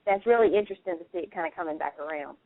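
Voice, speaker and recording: A woman's voice: fairly low pitch, very fast, no echo. A woman co-hosting a radio show, chatting in a reflective, agreeable, conversational way. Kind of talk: casual conversational chat. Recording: dry, narrow phone-like line.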